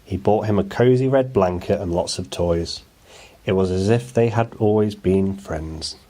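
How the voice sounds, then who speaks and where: A man narrates a children's picture book for bedtime. His voice sits a little low, in a storytelling delivery made for young listeners. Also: measured pace, mellow.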